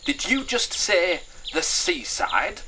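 A man's voice: medium pitch, a little slow, background noise, pitched above the performer's own voice, close to an everyday voice. A man voicing an eager, bossy female storybook character, blunt and emphatic, with a Northern English accent.

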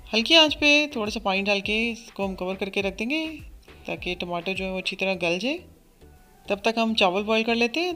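A woman hosting a cooking channel, narrating a recipe step by step in a steady instructional voice. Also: medium pitch. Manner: steady step-by-step instruction, addresses viewers as friends. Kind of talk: recipe voice-over.

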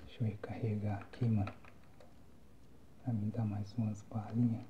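A young Brazilian man giving casual, slangy ASMR gameplay commentary in a soft, breathy whisper. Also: lowish voice, little pitch movement, moderate pace, lo-fi sound, slight background noise. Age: young adult. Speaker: gamer, ASMR channel host. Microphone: phone microphone, close.

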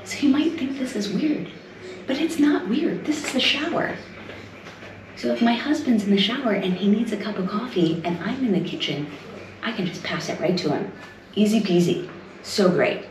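A woman's voice: mid-range voice, lively intonation, moderate pace. A woman, talking casually to camera as a travel vlogger indoors. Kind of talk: casual vlog talk to camera. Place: indoors in a small apartment. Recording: echoey rooms, some distant with noise.

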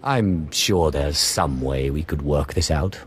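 An actor playing a curt, sardonic, impatient dark warrior in a video game. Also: male voice, very deep voice, slow pace, a little hiss or hum. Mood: menacing. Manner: curt, sarcastic, dismissive. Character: menacing, sardonic, impatient male Sith warrior. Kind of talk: video game cutscene acting.

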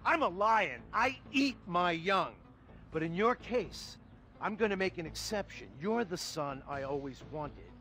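A greedy, megalomaniac business mogul in a satirical game film. The voice is high and forceful, swinging theatrically with villainous grandeur. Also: male voice, expressive, measured pace, heavily acted. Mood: pompous, smug. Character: greedy, vindictive, megalomaniac male finance tycoon mentor.

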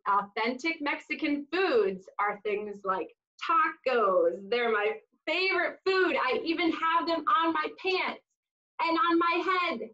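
Lady doing kids storytime, super swoopy expressive voice, slow, kinda dull lo-fi webcam sound. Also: on the high side. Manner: expressive, exaggerated emphasis, drawn-out words. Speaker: children's storytime reader. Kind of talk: animated picture-book read-aloud for young children. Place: small room indoors, seated on floor.

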